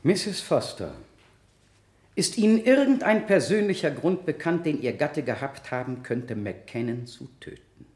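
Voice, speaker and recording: A male prosecutor with a fairly high voice that swings widely in pitch. He is relentless and theatrical, pressing every question in old radio-play style. The room echoes around him. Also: very animated, a little slow. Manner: forceful rhetoric, pressing questions. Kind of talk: 1970 German courtroom radio drama acting.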